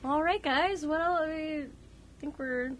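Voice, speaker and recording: A woman's voice: sits high, takes it slowly, a little hiss or hum. A young woman co-hosting an amateur radio show, chatting informally and enthusiastically, often restarting her sentences. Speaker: co-host and reader on an amateur radio drama show. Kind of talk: casual group chat.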